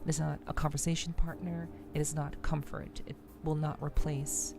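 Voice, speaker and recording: A woman streamer giving reflective, casual commentary to viewers, deliberate and emphatic, often repeating phrases for weight. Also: deep voice, little pitch movement, gravelly. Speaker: streamer hosting a game playthrough. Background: music.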